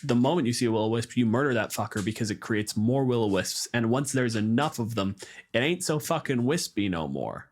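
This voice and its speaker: A man's voice: mid-range voice, lively intonation, fairly quick. A man hosting a podcast, talking informally and explaining things in a casual panel discussion. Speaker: podcast host.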